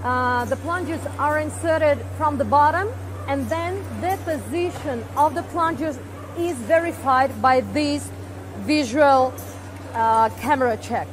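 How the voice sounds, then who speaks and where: A woman's voice: high-pitched, unhurried, background noise. A woman in her forties on a lapel mic, explaining machinery on a factory floor with frequent fillers and restarts. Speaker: company presenter. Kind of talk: guided equipment tour. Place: large indoor factory production floor.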